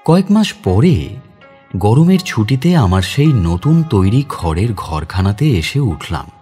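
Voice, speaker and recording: A man's voice: lowish voice, sing-song, a little slow, mellow, faint music behind. An actor playing a kind, reflective man visiting his home village, narrating the dramatised story himself. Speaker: narrator and lead character.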